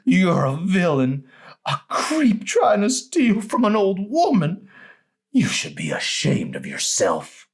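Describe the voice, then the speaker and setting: A man voicing a deranged, terrified and hostile old grandmother in an audiobook, crying out accusations in a shrill, drawn-out wail. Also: huge pitch swings, heavily acted. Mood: hostile, accusing. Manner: shrill, wailing, dragged-out cries and accusations.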